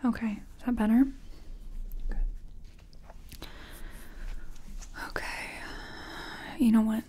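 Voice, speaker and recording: A woman whispering softly and gently in a breathy voice, reassuring the listener in a close-up ASMR personal attention roleplay as a makeup artist. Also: a little high, little pitch movement, very slow. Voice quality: soft, breathy, mostly whispered. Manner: gentle, reassuring, murmured repetitions.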